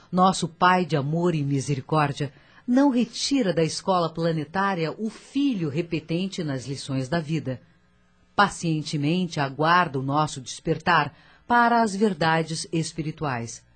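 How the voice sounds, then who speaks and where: A woman narrator of a scripted radio drama, husky and dramatic, telling the story with a moralizing turn. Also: deep voice.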